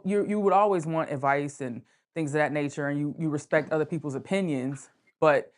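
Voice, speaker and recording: A woman with a low-pitched voice speaks as a podcast host. Her delivery is informal and conversational, halting at times, with words repeated for emphasis.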